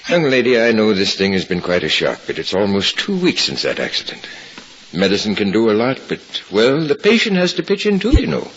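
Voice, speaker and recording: Low-voiced, kindly American doctor. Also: male voice, very animated, brisk. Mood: gentle, coaxing. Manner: reassuring, avuncular, frank. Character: kindly, candid male doctor coaxing a patient. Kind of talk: 1950s radio drama acting. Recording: dull, hissy old radio transcription.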